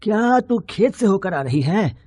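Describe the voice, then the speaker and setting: A woman's voice: medium pitch, animated, a little slow, warm tone, breathy edge, no room sound. A woman voicing a poor, weary male farmer in a story, stubborn and self-excusing, muttering as if to himself. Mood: defensive.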